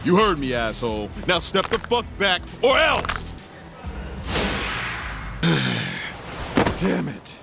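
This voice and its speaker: A man's voice: high voice, wildly swooping pitch, very slow, vocal fry, pauses often, over loud music, exaggerated voice. A man playing a hot-headed, cocky yakuza thug in a video game cutscene, taunting and swearing in slang. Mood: angry threat.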